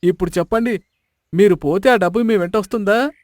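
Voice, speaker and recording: A man's voice: sits high, fairly quick, no echo, clean studio sound, natural voice. A man voicing a sly, mock-solemn villager in a dramatised storybook tale. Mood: frank.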